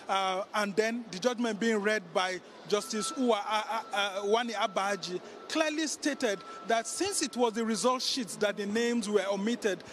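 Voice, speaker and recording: A Nigerian-accented man reports live as a political correspondent. His voice sits notably high for a man, and he explains things in a measured way. Some faint location noise comes through the handheld microphone. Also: a bit bright. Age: middle-aged.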